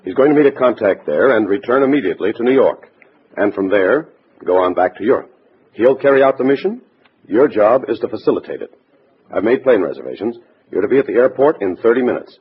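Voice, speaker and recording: An actor playing a brisk, authoritative party boss in a 1950s radio drama, with a formal, businesslike manner. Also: male voice, lowish voice, lively intonation, slightly rough. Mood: stern, urgent. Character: brisk, authoritative male Communist party boss giving orders. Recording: muffled, faintly noisy vintage radio recording.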